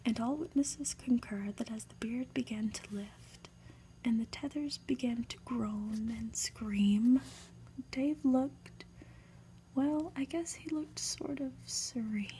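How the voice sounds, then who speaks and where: A woman reads a storybook aloud for ASMR in a soft, breathy, gentle whisper, with deliberate, unhurried phrasing. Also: on the high side, slight background noise. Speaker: ASMR reader.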